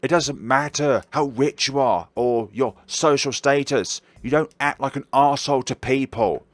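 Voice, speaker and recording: A man's voice: mid-range voice, normal speed, dry recording. A man reading a visual novel aloud and giving blunt opinions. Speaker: gaming YouTuber.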